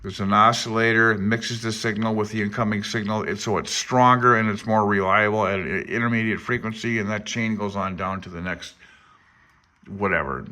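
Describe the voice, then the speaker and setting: A man's voice: fairly low pitch, steady tone, moderate pace, pushed and bright. A man informally reading aloud from a handbook and commenting as a hobbyist presenter, self-deprecating and unsure as he thinks aloud. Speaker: amateur radio hobbyist presenter.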